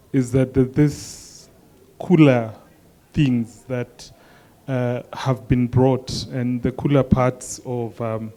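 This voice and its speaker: A man's voice: medium pitch, mellow. A man speaking on a panel, searching for words and restarting his sentences. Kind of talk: panel discussion.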